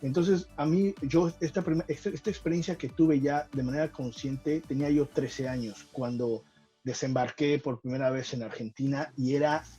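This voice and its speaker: A man's voice: mid-range voice, steady tone, normal speed, warm tone, muffled recording, soft background music. A man from Mexico with a Mexican accent, talking casually as an interview guest, with many restarts and fillers. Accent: Mexican Spanish. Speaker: guest, traveling football fan.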